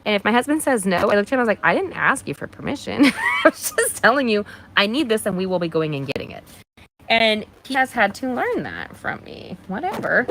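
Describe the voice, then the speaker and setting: A woman's voice: mid-range voice, sing-song, fairly quick, slight background noise, rough recording. Chatty, slightly nasal woman crafting live. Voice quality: a little nasal. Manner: warm encouraging, talks to viewers by name. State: mild allergies. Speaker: craft demonstrator hosting a livestream.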